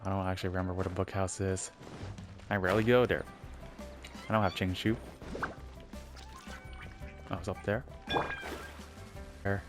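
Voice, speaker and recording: Very low-voiced woman game streamer. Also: little pitch movement, normal speed, pauses often, over music. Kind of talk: casual gameplay commentary.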